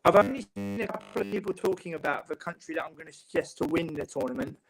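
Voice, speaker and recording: A man from England, a football writer and guest, talking in a calm, measured way with long explanations. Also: on the high side, creaky, a little hiss or hum, low-quality recording. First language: English. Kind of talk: casual panel chat.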